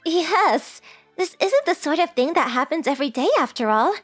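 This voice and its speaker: Woman voicing a very high, coy anime girl. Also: lively intonation, normal speed, faint music behind. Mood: coy, teasing. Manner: polite, coy, teasing. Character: sweet, coy, forward rich teenage girl with a crush. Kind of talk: anime-style comic dub dialogue.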